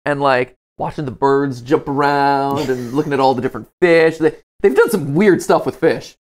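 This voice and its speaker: A man's voice: medium pitch, moderate pace. A man hosting a show in a casual, conversational way, talking through theories and reactions. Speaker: host.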